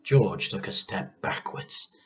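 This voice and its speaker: A man reading a children's story aloud in an expressive, theatrical narrating style. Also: takes it slowly.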